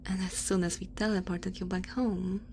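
A woman's voice: medium pitch, flat delivery, moderate pace, lightly acted. Woman voicing a whispering, cautious alien researcher. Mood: measured, cautious. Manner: soft whispering, precise and formal. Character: cautious, curious female alien researcher abducting a human. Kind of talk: whispered ASMR roleplay. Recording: noisy ambience behind close whisper.

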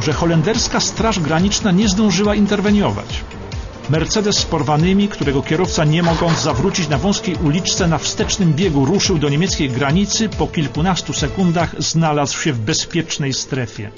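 A man with a fairly high voice narrates a historical radio documentary. His scripted delivery is dramatic and builds suspense. Music plays clearly beneath him, and the recording is slightly muffled.

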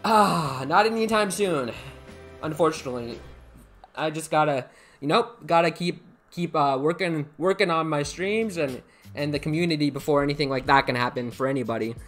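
A man's voice: fairly high pitch, normal speed, a bit bright, soft background music. Chatty young American man streaming, upbeat. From United States. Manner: rambling, repeats himself, thanks viewers by name. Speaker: live streamer. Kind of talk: casual live-stream chat, reading chat aloud. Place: indoor room at a computer. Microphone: headset.

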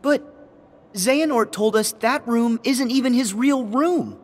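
A man playing an eager, kind teenage boy hero in an animated video game. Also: extremely high pitch, a little slow, long reverb tail. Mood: apologetic, earnest.

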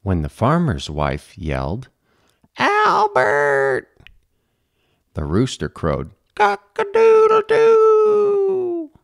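An expressive, deliberate narrator reading a children's picture book aloud. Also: a bass voice, no echo, studio quality. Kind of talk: read-aloud children's storytelling.

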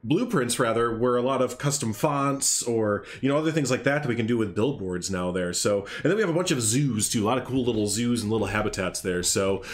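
A man's voice: mid-range voice, expressive, a little fast, few pauses. Stuffed-up nasal man streaming. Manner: chatty, rambling with frequent fillers. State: congested, stuffed up. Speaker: streamer hosting a game showcase. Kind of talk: casual live-stream commentary.

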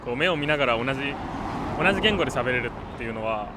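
A young man in his twenties chats quickly and casually into a handheld mic outside. His tone is relaxed and conversational. Clear street noise runs behind him. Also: medium pitch. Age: 20s. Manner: casual, chatty. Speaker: interviewee. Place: outdoors by a campus gate.

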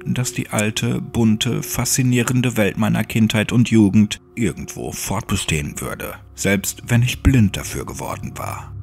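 A man's voice: lowish voice, vocal fry. A man narrating a scripted horror audiobook in a brooding, dramatic manner. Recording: music under the voice.

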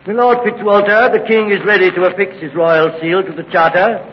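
A man's voice: high-pitched, steady tone, brisk, natural voice. A man playing a devout, dignified medieval archbishop in an old radio drama, intoning ceremonially. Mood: solemn. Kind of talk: 1950s radio drama acting. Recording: echoing, muffled vintage radio recording.

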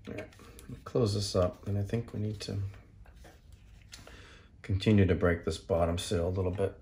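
A man narrating a hands-on repair tutorial in a casual, unscripted way, explaining step by step in a halting manner. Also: low voice, fairly even intonation, darkish tone. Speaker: tutorial host.

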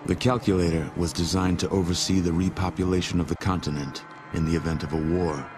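An actor playing a solemn, measured war chronicler narrating a post-apocalyptic game. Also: male voice, low-pitched, little pitch movement, natural voice. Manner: grave, measured storyteller delivery. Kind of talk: scripted game cutscene narration. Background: music.

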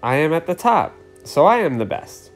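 Storybook red traffic light, female, vain and boastful male reader. Self-important, lively intonation. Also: fairly high pitch, moderate pace, faint music behind, voice pushed up.

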